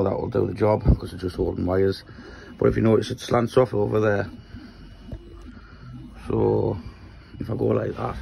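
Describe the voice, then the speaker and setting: A man from Newcastle with a Geordie accent and a croaky voice, casually talking through his workshop project while filming on a handheld phone in a small shed. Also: deep voice, very noisy. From Newcastle, England. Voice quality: croaky, gravelly voice. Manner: rambling, explains while handling parts. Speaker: maker vlogger.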